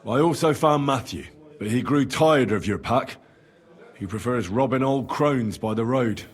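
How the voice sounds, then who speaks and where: An actor playing a young, modest, good-natured medieval adventurer in a video game. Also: male voice, medium pitch, lively intonation, a little slow, husky. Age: young adult. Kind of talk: video game cutscene acting.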